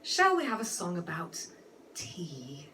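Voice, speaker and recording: A woman's voice: fairly low pitch, huge pitch swings, slow, slight background noise. A woman cabaret entertainer with a playfully genteel, rambling manner, performing comic music-hall songs and chatty patter. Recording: music mixed loudly with the voice. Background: loud musical accompaniment with guitar.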